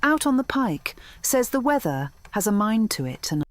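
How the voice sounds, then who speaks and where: A woman playing a welcoming, plain-spoken housekeeper in a radio drama, homely and brisk, with some background sound. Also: medium pitch, sing-song, natural voice. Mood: welcoming, firm. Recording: faint indoor sound effects behind.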